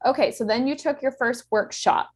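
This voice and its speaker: A woman's voice: high-pitched, normal speed, husky. A woman hosting an interview, asking follow-up questions in an encouraging, affirming way. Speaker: podcast host. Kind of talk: interview conversation.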